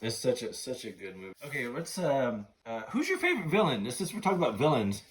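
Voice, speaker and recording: A middle-aged man hosting a podcast, chatting casually and gushing like an excited fan. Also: mid-range voice, fast talker. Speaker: podcast host. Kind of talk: casual chat between film fans.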